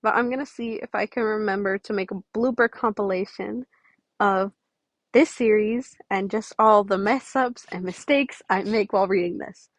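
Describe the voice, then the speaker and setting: A woman's voice: medium pitch, normal speed, no echo. A woman reading a story aloud and chatting casually to listeners as a podfic reader.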